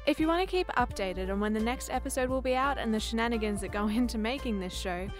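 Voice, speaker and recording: An Australian woman delivers a scripted announcement as a podcast creator. Her voice is fairly high, with faint music playing behind it. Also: fast talker. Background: soft music.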